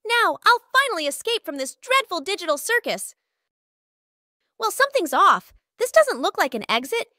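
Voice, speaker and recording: A woman voicing a timid young female clown in a fan comic dub, high and flustered. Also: sing-song, moderate pace, sharp tone, no echo, studio quality, heavily acted. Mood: hopeful.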